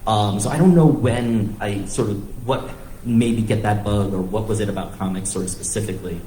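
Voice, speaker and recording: A man's voice: lowish voice, moderate pace, warm tone. An American man telling personal stories casually on a panel, interrupting himself as he thinks aloud. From northeastern United States and Thailand. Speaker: comics writer on a panel. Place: live panel event in a room. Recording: echoey room with faint background noise.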